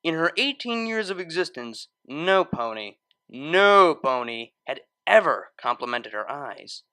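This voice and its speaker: A story reader with a bright, forward tone. The delivery is expressive and lively, moving freely up and down in pitch as the scripted narration unfolds. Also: a little slow. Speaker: audiobook-style fan fiction reader.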